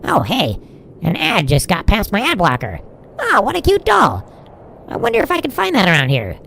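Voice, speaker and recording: A woman's voice: medium pitch, huge pitch swings, moderate pace, vocal fry, a bit bright, a little hiss or hum, exaggerated voice. A woman playing a chirpy, curious radio crew member in an audio drama. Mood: curious, surprised.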